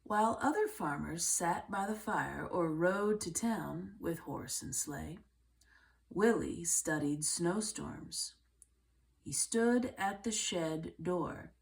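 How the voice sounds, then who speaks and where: A woman reading a children's book aloud in a measured way, with deliberate phrasing and pauses. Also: lowish voice, darkish tone. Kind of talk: read-aloud storybook narration.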